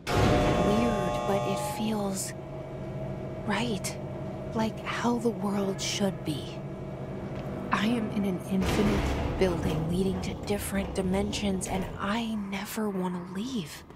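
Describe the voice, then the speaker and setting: An actress playing a young American woman thinking to herself in a video game, hushed and introspective. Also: medium pitch, fairly even intonation, slow, loud music behind the voice, natural voice. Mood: awed, content. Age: young adult. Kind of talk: inner monologue in video game. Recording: loud noise behind the voice.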